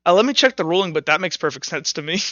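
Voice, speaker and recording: A man running a tabletop roleplaying game as game master, narrating and explaining rules informally, thinking out loud as he goes. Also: high-pitched, animated, quick pace, no room sound, dull audio.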